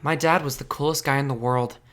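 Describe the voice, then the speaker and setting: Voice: mid-range voice, brisk, lightly acted. A performer playing a sarcastic, insecure teenage boy who narrates his would-be superhero life with wry self-mockery in a comic dub. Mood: wry, frustrated. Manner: wry, self-mocking, expressive. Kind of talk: first-person narration and comic dub acting. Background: music.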